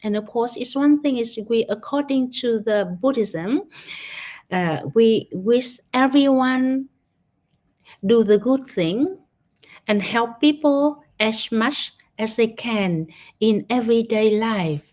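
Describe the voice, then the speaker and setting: Slow, gentle Vietnamese-accented woman. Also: fairly high pitch, expressive. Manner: gentle, halting, with searching restarts. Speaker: meditation guide. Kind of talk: guided gratitude meditation talk. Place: online session.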